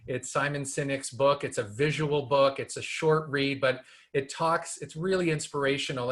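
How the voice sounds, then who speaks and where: Brief: man, 50s, podcast co-host, earnest and rambling, mid pitch. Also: a bit bright. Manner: earnest, rambling, filler-heavy. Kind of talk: casual podcast chat.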